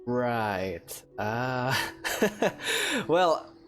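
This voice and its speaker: A male gamer chats through a let's play in a rambling, jokey way. His pitch moves around a lot at a middling height, with game music underneath. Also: huge pitch swings, extremely slow, a bit bright. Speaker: gaming host. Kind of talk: casual let's play commentary.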